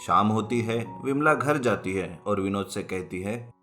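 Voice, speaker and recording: A man reading a scripted folk tale as a storyteller, in narration for an animated story. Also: lowish voice, measured pace. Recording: music bed behind the voice. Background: background music.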